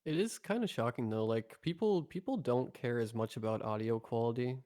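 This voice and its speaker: A man co-hosts a casual podcast and talks eagerly. His voice sits at an ordinary middle pitch. The recording is dry, without echo. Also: a little fast, warm tone. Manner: chatty, enthusiastic about audio gear. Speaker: podcast co-host, narrator.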